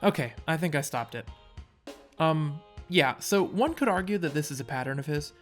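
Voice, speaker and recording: A man's voice: a little high, lively intonation, normal speed, soft background music. A man narrating a casual scripted video essay with wry, sarcastic asides.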